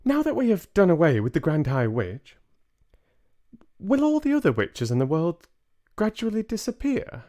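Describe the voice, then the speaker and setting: A man voicing an excitable small boy turned mouse, eager and wide-eyed in his questions, in a storybook reading. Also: fairly high pitch, moderate pace, voice pushed up. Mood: astonished, alarmed.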